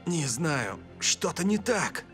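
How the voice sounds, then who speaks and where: An actor playing a rhythm-driven young male star footballer in an animated cartoon. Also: on the high side, slow pace, faint music behind, full character voice. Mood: troubled.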